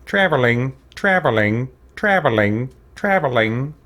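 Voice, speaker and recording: A man's voice: mid-range voice, expressive, a little slow, a bit bright. A man giving casual let's play commentary, thinking aloud and muttering to himself as he goes, often repeating words. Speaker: gamer hosting a let's play.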